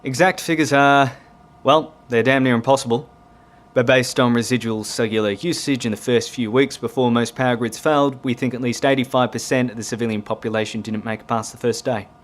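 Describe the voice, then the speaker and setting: A man's voice: mid-range voice, steady tone, fairly quick, lightly acted. An Australian-accented man playing a courteous, grave navy commander in an audio drama, polite and plain-spoken.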